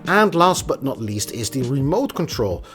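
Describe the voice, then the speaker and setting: A man's voice: mid-range voice, very animated, normal speed, fairly bright tone, soft background music. A man giving a casual, opinionated tech review in a conversational manner. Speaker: product reviewer.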